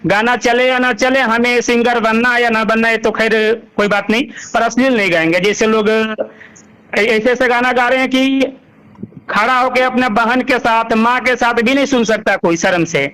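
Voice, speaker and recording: A man's voice: very high voice, steady tone, moderate pace, bright, forceful tone, clear, resonant tone, poor audio. A man with an eastern Indian Bhojpuri-belt accent answering as a singer in an informal interview, emphatic and repeating himself. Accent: Bhojpuri-Magahi belt Hindi, eastern India.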